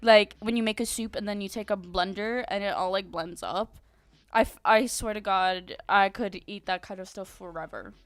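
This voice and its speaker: A woman's voice: mid-range voice, steady tone, normal speed, no echo, clean studio sound. A young woman streaming from home, chatting casually with viewers in a bubbly, chatty way. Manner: bubbly and chatty, many "like"s and giggles. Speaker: VTuber streamer.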